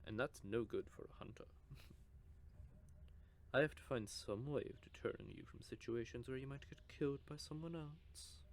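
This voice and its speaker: A man's voice: medium pitch, normal speed, vocal fry, a little hiss or hum, close to an everyday voice. A man voicing a teasing, possessive male vampire in an audio roleplay, with a smug, drawling manner. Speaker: voice actor.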